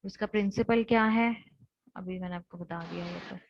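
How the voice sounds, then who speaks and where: An Indian woman gives a technical lecture in a slightly low, monotone voice. She explains in steps, rephrasing as she goes. The sound is dry and close but dull and lo-fi, like online class audio. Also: normal speed, vocal fry, no room sound. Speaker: teacher.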